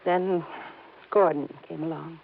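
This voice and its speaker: A woman's voice: sits low, steady tone, slow pace, vocal fry, heavily acted. An actress playing a worried, earnest young wealthy woman in a 1950s radio drama, on a tinny old broadcast recording. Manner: anxious, hesitant, earnest.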